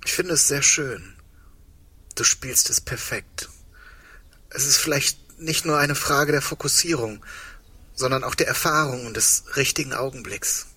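Scared grieving guy in a german radio play, raspy strained voice, very dry sound, halting and subdued. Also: mid-range voice, bright, forceful tone, dry recording, exaggerated voice. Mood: gentle. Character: frightened, grieving man waiting for the end.

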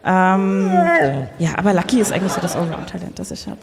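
A woman's voice: lowish voice, little pitch movement, brisk, creaky, crowd noise. A woman playing an effusive, easily flustered dog trainer and former child singer in improvised comedy. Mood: proud. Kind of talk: improvised comedy audio play. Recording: reverberant hall.

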